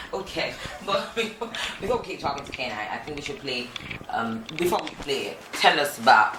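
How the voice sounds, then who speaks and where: A man's voice: mid-range voice, sing-song. A man hosting a radio interview, playful and teasing with his guest. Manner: playful, teasing, quick to laugh. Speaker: radio show host. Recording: echoey room, distant mic, background noise.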